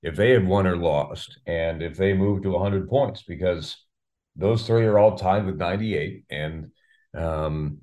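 Low-voiced man talking hockey, halting delivery. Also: dark tone. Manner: halting, word-repeating, wordy analysis. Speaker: radio play-by-play announcer, guest. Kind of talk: sports interview chat about hockey.

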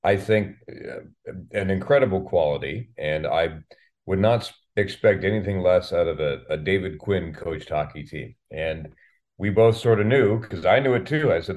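A man speaking casually as an interview guest, halting and reflective as he thinks aloud. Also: sits low, expressive, a little mellow. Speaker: guest, radio play-by-play announcer.

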